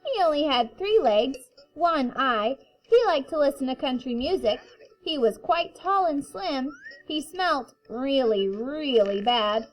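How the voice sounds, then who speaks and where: Female kindergarten teacher for picture-book read-aloud: high, slow, playful sing-song delivery. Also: expressive, low-quality recording. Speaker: kindergarten teacher reading to her class.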